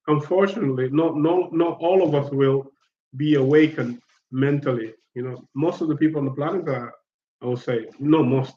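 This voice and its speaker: A man's voice: medium pitch, darkish tone, reverberant, muffled recording. A man from Guinea-Bissau hosting a casual online chat, talking in a hesitant, reflective way and repeating words as he searches for them.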